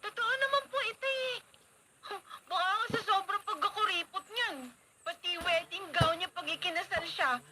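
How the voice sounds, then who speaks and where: An actress playing a whiny, mocking, spiteful young relative in a Filipino radio drama. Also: female voice, extremely high pitch, normal speed. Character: whiny, mocking teenage cousin, high-pitched and spiteful. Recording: very noisy radio drama broadcast.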